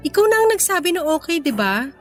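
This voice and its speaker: A young businesswoman girlfriend in a Filipino radio romance. Her voice sits quite high and she is expressive and teasing, playful but careful with her heart. Music plays behind her. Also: fast talker. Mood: teasing, reassuring. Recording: background music under the voice.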